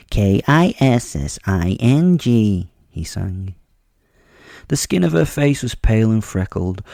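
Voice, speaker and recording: Male horror narrator, very deep and gravelly, mellow tone, menacing and wry. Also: no echo, clean studio sound. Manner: brooding, wry and menacing, with repeated stumbles. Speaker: host and narrator. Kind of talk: first-person horror audio drama narration.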